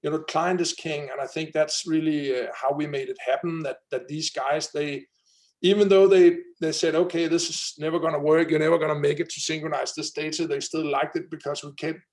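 Thirties male founder, Danish accent, lively guest energy, slightly higher voice. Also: fairly quick, poor audio. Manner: energetic, many fillers and restarts. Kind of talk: conversational interview.

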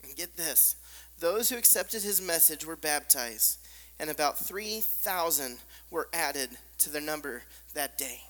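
A man with a squeaky voice preaching a sermon, hammering points through repetition and dropping to soft, hushed lines. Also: expressive, a little slow. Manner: emphatic repetition, drops to soft near-whispers. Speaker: pastor. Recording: long reverb of a large hall.

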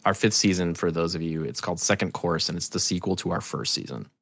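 A man's voice: deep voice, fast talker, creaky, fairly bright tone, husky, no echo. A middle-aged man talking casually and candidly as a podcast guest, earnest and rambling, with restarts and loose swearing. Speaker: podcast guest, audio drama creator.

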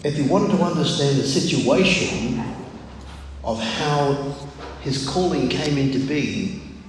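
An older man with a loud voice preaches a sermon in a measured, deliberate manner with frequent pauses. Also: medium pitch, lively intonation. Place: large echoey room, speaking to a congregation. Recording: very echoey hall, clear background noise.

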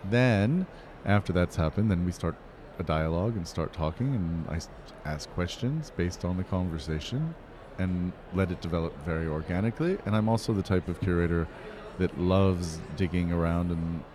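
A man from Pennsylvania, a curator, answering interview questions in a measured, earnest way. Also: low voice, expressive, crowd noise. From Pennsylvania, USA.

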